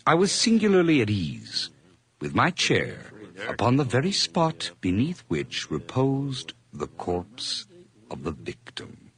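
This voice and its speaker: A man's voice: mid-range voice, wildly swooping pitch, takes it slowly, fairly bright tone, exaggerated voice. An actor playing a nervous, overly eager hired man who stammers through forced cheer in a radio drama. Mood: narrating. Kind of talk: 1980s radio drama acting.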